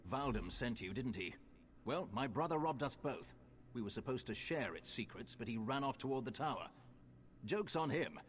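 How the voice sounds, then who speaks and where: A man's voice: medium pitch, animated, normal speed, natural voice. A man voicing a resentful, sardonic thief in a video game, with an offhand manner. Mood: wry, resentful. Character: resentful, sardonic male thief cheated by his brother. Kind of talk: video game character acting. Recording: faint noise and game ambience.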